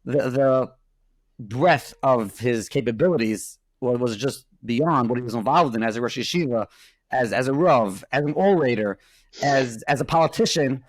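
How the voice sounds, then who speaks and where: A man's voice: medium pitch, no room sound. A male host who interviews a guest and piles several questions into one long turn. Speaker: podcast host.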